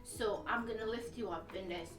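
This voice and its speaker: A woman from Guyana, a dancer and designer, telling her story in animated casual chat. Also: medium pitch, normal speed. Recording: roomy, distant mic, faint music.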